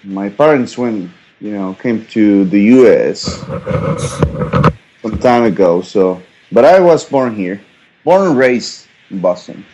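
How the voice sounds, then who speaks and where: A man's voice: lowish voice, lively intonation, slow pace, warm tone, a little hiss or hum, voice pushed down, rough recording. A man playing a hesitant, lost young man at a tabletop game, stammering and apologetic. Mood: earnest. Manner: stammering, apologetic, self-conscious laughs.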